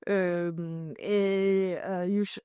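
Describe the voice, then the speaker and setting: A woman's voice: fairly low pitch, level tone, drawn-out words, mellow, smooth, dry recording. A woman from Ireland whose first language is English, a journalist and author explaining at length as an interview guest, often searching for French words and correcting herself.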